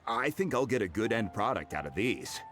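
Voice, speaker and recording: An easygoing male craftsman from a video game. He is fairly low and very animated in pitch, casual and reassuring, with clear music underneath. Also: brisk. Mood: relaxed, confident.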